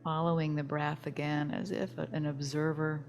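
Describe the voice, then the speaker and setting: A woman teaching yoga nidra, leading a guided relaxation meditation in a soothing, gentle, unhurried manner. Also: low-pitched, flat delivery, faint music behind.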